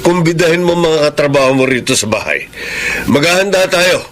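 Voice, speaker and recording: A man's voice: fairly high pitch, expressive, brisk, fairly bright tone. A man playing a proud, encouraging father in a radio drama, hearty and approving. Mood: proud, cheerful.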